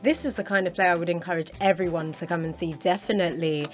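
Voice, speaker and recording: A woman's voice: fairly low pitch, fairly even intonation, fast. Woman radio host, music underneath. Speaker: radio show host interviewing guests. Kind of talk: friendly interview.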